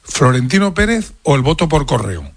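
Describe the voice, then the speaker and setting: A man with an accent from Spain questioning a candidate in a halting, wordy, spontaneous way. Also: a little high, very animated, gravelly, husky, no echo, studio quality. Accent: Spanish, from Spain.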